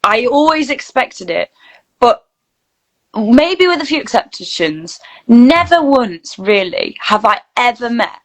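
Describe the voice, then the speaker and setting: A woman's voice: a little high, sing-song, slow pace, low-quality recording. A woman chats casually as an interview guest, telling anecdotes and often saying "like". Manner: chatty, anecdotal, peppered with "like". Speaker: guest, actor and voice reel producer.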